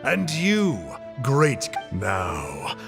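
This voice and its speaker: A man's voice: medium pitch, huge pitch swings, very slow, creaky, music in the background, heavily acted. A man voicing a bookish, teasing young scholar in a video game, with a lecturing, doom-laden, grandiloquent manner. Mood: ominous lecturing.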